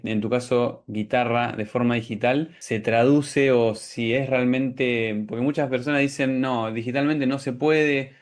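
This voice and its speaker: A man with a Rioplatense Argentine accent interviewing a guest conversationally, feeling out long questions and rephrasing as he goes. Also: mid-range voice. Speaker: interviewer, coach. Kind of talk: conversational client testimonial interview.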